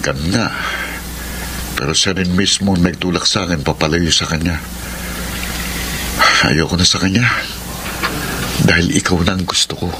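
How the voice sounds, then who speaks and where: Man acting deep-voiced smitten playboy, radio drama. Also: fairly even intonation, normal speed, pauses often, noisy background, natural voice. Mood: earnest, wooing. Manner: earnest, emphatic, theatrical. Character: reformed playboy young man, smitten and impatient suitor. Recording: radio drama with loud music bed. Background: loud music.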